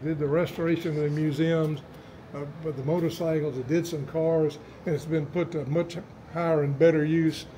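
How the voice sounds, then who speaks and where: Older man, tour guide, slightly high voice, folksy yarns, noisy hall behind. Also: level tone, warm tone. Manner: folksy storytelling, halting restarts. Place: large indoor museum hall. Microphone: camera held by a visitor nearby.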